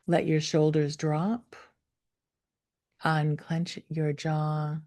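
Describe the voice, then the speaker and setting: A woman leading a guided meditation, speaking gently and without hurry, with soft, breathy phrase endings that fall toward a whisper. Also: deep voice, monotone. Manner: gentle, unhurried, with long pauses. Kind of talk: guided meditation, slow soothing instruction.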